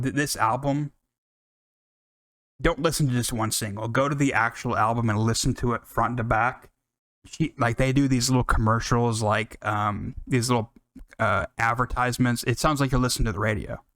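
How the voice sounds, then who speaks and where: A man's voice: medium pitch, fairly even intonation, normal speed, fairly bright tone, dry recording. An American man hosting a music podcast, talking casually and enthusiastically in a rambling, unscripted way with some swearing.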